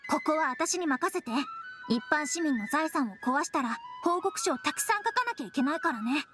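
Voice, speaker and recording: A woman's voice: high voice, expressive, moderate pace, over music. A woman playing a capable, confident young female officer in a video game, dutiful and matter-of-fact, with feminine phrasing. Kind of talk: Japanese game voice acting.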